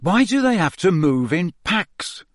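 Brief: grumbling teenage schoolboy, lively voice , read by a male narrator. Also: high voice, measured pace, no room sound, studio quality, pitched above the performer's own voice, full character voice. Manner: awkward, grumbling. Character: reluctant teenage boy student.

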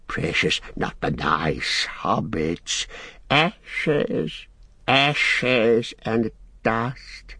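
Whiny sly little creature for a storybook, strained and whimpery, pitch leaping way up, drawn out. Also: male voice, a bit bright, husky, no room sound, clean studio sound, exaggerated voice. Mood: fearful. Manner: hissing repetitions, speaks of himself as we. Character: wretched whining creature, cunning, sly and self-pitying.

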